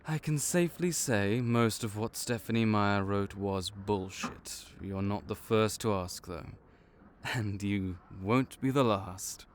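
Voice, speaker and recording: A man's voice: fairly low pitch, slow, slight background noise. A man voicing a male vampire intake officer in an audio roleplay, matter-of-fact and wry as he walks through the paperwork.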